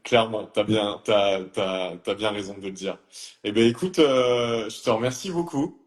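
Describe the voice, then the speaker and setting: Chill guy hosting a live, lowish voice, echoey room sound. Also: normal speed. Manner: friendly, relaxed, many fillers. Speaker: host. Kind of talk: casual live interview.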